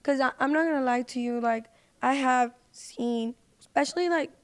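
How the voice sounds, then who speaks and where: A woman's voice: sits high, normal speed. A woman speaking as a podcast guest in casual, slangy conversation with lots of filler "like.". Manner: informal, slangy, frequent "like". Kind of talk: casual chat. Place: podcast recording.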